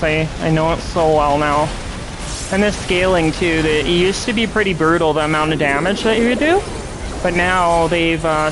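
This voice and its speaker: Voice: medium pitch, rough recording. A game streamer with a nasal voice, chatting casually while playing. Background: music.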